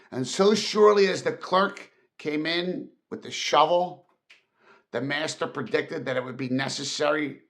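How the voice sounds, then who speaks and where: A man reading a classic story aloud as narrator, with dramatic, expressive and emphatic phrasing. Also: medium pitch. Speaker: audiobook narrator.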